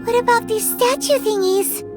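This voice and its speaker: An actress voicing a small, childlike companion sprite in a video game, curious, candid and exclamatory. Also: female voice, very high-pitched, moderate pace, over music. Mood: curious, startled.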